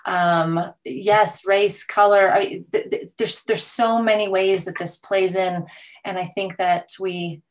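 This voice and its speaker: A woman hosting an interview podcast, conversational and halting as she searches for her words. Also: mid-range voice, steady tone.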